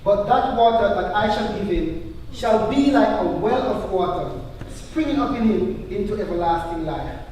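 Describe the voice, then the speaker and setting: A man playing a solemn teacher with calm authority in a live church drama, reciting scripture in emphatic, measured phrases. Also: sits high. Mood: calm, assured. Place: church hall, recorded live. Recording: very echoey hall, distant mic, faint noise.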